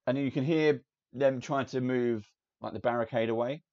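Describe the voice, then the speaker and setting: Male game master, casual rambling narration, creaky tone, dull low-fi recording. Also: normal speed. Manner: relaxed, meandering narration with fillers. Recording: dull lo-fi audio, faint hiss.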